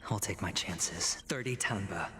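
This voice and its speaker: A man playing a guarded, defiant young slave in a video game, speaking in a hushed, breathy way. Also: sits low, normal speed, a crowd in the background, natural voice. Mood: hushed, daring. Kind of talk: video game character acting. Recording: long reverb, music behind.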